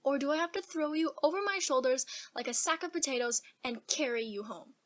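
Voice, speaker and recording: A woman's voice: high voice, brisk, natural voice. A female performer playing an earnest, plucky little country girl in a radio drama, with plain, folksy childlike talk. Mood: eager, reassuring.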